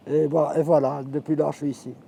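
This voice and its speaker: A man in his fifties from Morocco, an Arabic speaker, telling his life story in a reflective, halting way. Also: fairly high pitch, flat delivery, creaky, mellow. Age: 50s. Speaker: interviewee. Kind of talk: personal life testimony.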